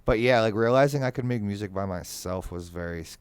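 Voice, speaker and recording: A man's voice: fairly low pitch, fairly quick, clean tone, no echo. A young man with a Boston accent, talking casually as a guest musician, swearing freely and filling with "like" and "you know". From Massachusetts. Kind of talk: casual podcast conversation.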